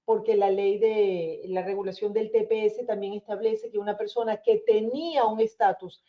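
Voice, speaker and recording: A woman with a Venezuelan accent, an immigration lawyer explaining visa options in a webinar in a calm, reassuring manner. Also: mid-range voice, moderate pace, highs cut off. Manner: reassuring, explanatory, addresses listeners as señores.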